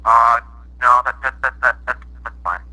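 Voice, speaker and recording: A man's voice: mid-range voice, normal speed, bright, forceful tone, slightly rough. A man working as a customer support agent, speaking politely and procedurally over a telephone line. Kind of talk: phone customer service talk. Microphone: heard over a phone call. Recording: harsh, tinny telephone line.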